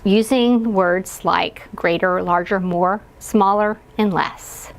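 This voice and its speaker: Woman, early childhood teacher type, unhurried explanatory delivery with gravelly fry, demonstrating questions for young kids. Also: medium pitch, steady tone. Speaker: early childhood educator guiding parents. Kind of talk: instructional read-aloud guidance.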